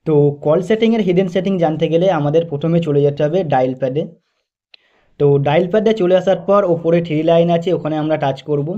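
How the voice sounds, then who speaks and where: A man with a fairly high, very mellow voice, guiding listeners through instructions step by step. He is methodical and repeats his phrasing as he goes. The recording is a slightly dull, lo-fi voice-over. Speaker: tech tutorial host. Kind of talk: step-by-step phone tutorial narration.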